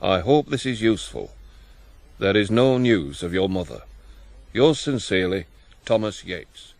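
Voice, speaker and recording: Formal correspondent reading aloud, lowish voice, dry close sound, businesslike radio drama delivery. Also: male voice, lively intonation, measured pace, gravelly, a little mellow, no room sound, full character voice. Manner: stiff, formal. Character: formal, businesslike male letter writer.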